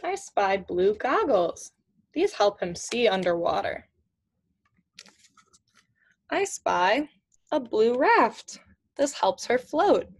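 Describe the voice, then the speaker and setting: A woman in her twenties reading a picture book aloud to children in simple, teacherly phrases with questions. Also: a little high. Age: young adult, 20s. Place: small indoor room at home with shelves.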